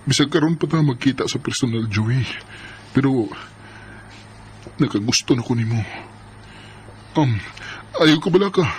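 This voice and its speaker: A man's voice: mid-range voice, expressive, a little slow, long pauses, a little hiss or hum, lightly acted. A man playing a deceitful, jealous young husband with a violent temper in a Bisaya radio drama. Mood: sheepish, coaxing.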